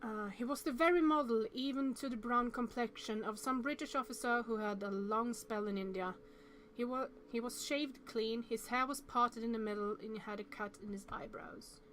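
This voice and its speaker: A woman with a fairly high voice hosts a visual novel playthrough. She reads the story aloud and adds casual reactions, occasionally tripping on words, with faint noise in the recording. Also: normal speed, a bit bright. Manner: reacts aloud, stumbles over words while reading. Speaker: host playing and reading a visual novel. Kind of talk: reading story text aloud with casual commentary.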